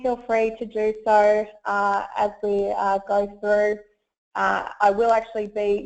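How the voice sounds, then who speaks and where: Croaky woman presenting over a webinar. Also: mid-range voice, a little mellow. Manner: friendly, with frequent fillers. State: croaky voice from a cold. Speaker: webinar presenter, solutions consultant. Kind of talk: online presentation. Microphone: web conference audio. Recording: muffled lo-fi web call audio.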